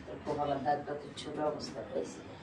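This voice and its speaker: A man's voice: on the high side, monotone, quick pace, creaky. A man with a Bangladeshi accent speaking spontaneously as a guest. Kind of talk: spontaneous conversation. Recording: distant mic, echoey, very noisy.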